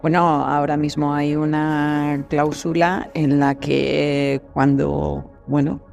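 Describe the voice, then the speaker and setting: Creaky, low-voiced woman, reflective. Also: monotone, takes it slowly, darkish tone, soft background music. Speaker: voice actor, podcast guest. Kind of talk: casual panel discussion.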